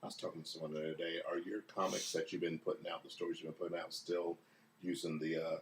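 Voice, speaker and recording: Deep fast-talking man, roomy sound. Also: little pitch movement, a little hiss or hum. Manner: short reactions and quick questions. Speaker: co-host. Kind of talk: casual live-stream chat.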